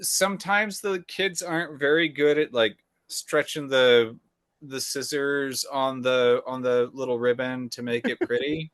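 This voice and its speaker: A male podcast co-host with a relaxed, wry way of talking, dropping casual swears into easygoing chat. His voice sits at an ordinary middle pitch, recorded dry and close. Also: unhurried, dry recording.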